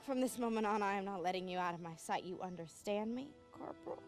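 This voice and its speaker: A woman's voice: medium pitch, quick pace, over music, close to an everyday voice. An actress playing a fierce female leader who gives firm, clipped commands, in a video game cutscene. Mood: stern.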